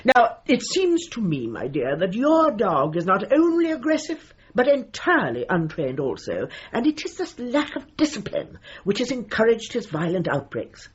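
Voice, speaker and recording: An actress playing a brisk, bossy, unsentimental middle-aged dog trainer in a comic radio drama, with an imperious, precise, patronising manner. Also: female voice, mid-range voice, wildly swooping pitch, breathy edge, highs cut off. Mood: probing, officious.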